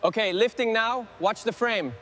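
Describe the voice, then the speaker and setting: A man's voice: high-pitched, normal speed, bright, forceful tone, a little hiss or hum. A man playing a terse, cooperative mechanic who gives short scripted replies to a workshop boss. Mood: steady, focused. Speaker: mechanic on a crew.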